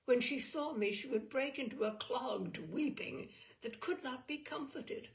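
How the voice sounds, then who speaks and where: Slow, creaky-voiced woman reading fiction. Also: high voice. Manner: measured, expressive, dwelling on phrases. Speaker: reader of a short story. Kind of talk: dramatic literary narration, first person.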